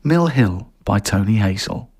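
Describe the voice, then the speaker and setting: A man's voice: fairly low pitch, animated, unhurried, a little mellow, husky. A man reading a scripted audio story as narrator.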